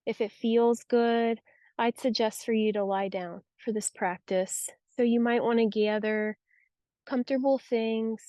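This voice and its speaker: Mellow, soothing woman guiding relaxation. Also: a little high, dry recording. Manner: soothing, unhurried, gentle instruction. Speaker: yoga nidra guide. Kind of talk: guided relaxation meditation.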